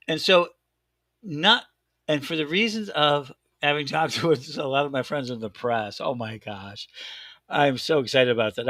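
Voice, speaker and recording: A man with a thick Chicago accent hosting a casual chat show, talking in an excitable, rambling way and correcting himself often. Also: medium pitch, dry recording, studio quality. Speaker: host. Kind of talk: casual live-stream chat.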